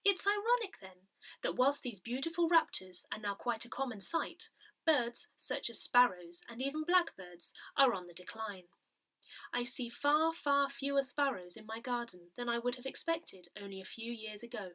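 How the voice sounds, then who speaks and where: A woman reading written articles aloud in a measured, clearly phrased way, as for an audio newsletter. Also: on the high side, animated, pushed and bright, poor audio. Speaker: newsletter reader.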